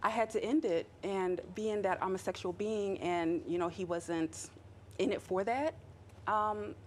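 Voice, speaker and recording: A woman's voice: medium pitch, measured pace, slight background noise. A woman in her forties being interviewed on TV, candid and a little hesitant. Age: 40s. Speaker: reality show guest. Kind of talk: TV interview answers.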